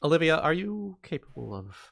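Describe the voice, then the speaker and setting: A male player in a casual tabletop game chat, talking in a low-key way. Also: sing-song, fairly quick, vocal fry, fairly bright tone, dry recording, studio quality.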